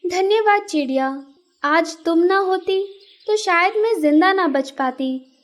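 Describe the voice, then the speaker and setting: Woman as a high, sweet, grateful fish. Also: expressive, measured pace. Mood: grateful, sad. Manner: sweet, earnest. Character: grateful female fish rescued from drying up. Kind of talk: children's cartoon character acting.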